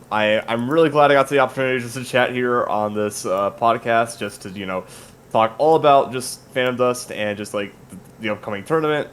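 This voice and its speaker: A man's voice: medium pitch, clean tone. A man guesting on a podcast in casual chat, polite and joking as he thanks the host.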